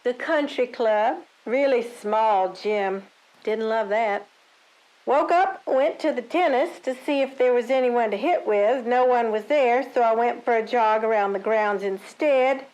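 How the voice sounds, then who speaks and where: A woman's voice: fairly high pitch, slow, darkish tone, low-quality recording. A woman playing a self-important, scatterbrained country-club official in an audio drama, with a breezy, entitled, offhand delivery. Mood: breezy, vague.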